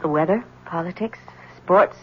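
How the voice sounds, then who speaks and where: An actress playing a troubled, guarded wife in a 1970s radio drama, pleading and evasive in a formal way. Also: female voice, lowish voice, moderate pace, breathy edge. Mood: uneasy, guarded. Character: guarded, troubled young wife warning a man away. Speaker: actor. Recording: dull, hissy old radio recording.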